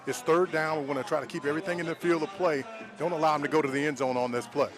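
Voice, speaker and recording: Fast-talking American male football analyst. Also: on the high side, fairly even intonation, music in the background. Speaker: color analyst. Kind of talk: live football game analysis.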